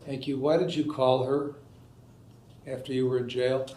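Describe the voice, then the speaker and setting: A man with an American accent speaking as a judge, questioning and admonishing in a halting, stern way. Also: medium pitch, flat delivery, warm tone. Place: indoor courtroom. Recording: echoey room sound.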